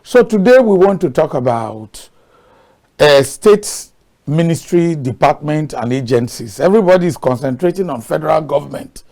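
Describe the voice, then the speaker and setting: Gravelly Nigerian man, emphatic talk-show guest. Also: a little high, a little mellow. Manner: emphatic, repetitive, folksy phrasing. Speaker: guest speaker.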